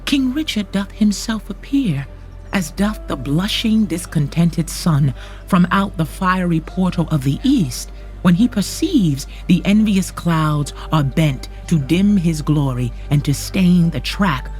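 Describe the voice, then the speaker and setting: An actor playing a bold, commanding rebel lord, speaking measured, declamatory verse in a Shakespearean radio drama. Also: male voice, high-pitched, animated, a little mellow, soft background music. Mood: solemn resolve. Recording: faint background noise and ambience.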